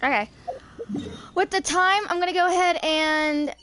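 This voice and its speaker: A woman's voice: extremely high pitch, steady tone, normal speed, fairly bright tone. A woman in her twenties giving casual gameplay commentary as she streams. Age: 20s. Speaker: gaming streamer.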